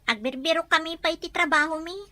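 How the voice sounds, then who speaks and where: A woman's voice: extremely high pitch, quick pace, pushed and bright, dry recording. A woman with a Filipino accent acting plaintive, put-upon family women in an audio drama. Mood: pleading.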